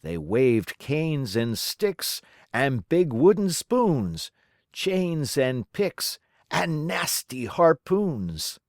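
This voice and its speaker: A woman reading a children's rhyming storybook aloud in a theatrical, deliberate, rhythmic way. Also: low voice, sing-song, a little mellow, dry recording, studio quality. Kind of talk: expressive children's storybook narration.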